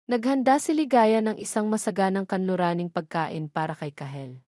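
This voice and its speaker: A woman's voice: medium pitch, moderate pace, mellow, no room sound, clean studio sound. A woman with a Filipino accent reading a scripted narration for an audio drama love story.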